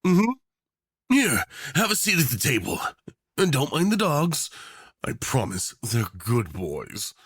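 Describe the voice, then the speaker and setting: A man's voice: medium pitch, wildly swooping pitch, slow, a bit bright, breathy edge, no echo, studio quality, full character voice. Man playing a swooping, sugary, unhinged lovesick tyrant. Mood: adoring. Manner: theatrical, saccharine and teasing, with pet names. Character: doting, unhinged, rich tyrant, obsessively in love. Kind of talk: yandere ASMR roleplay acting.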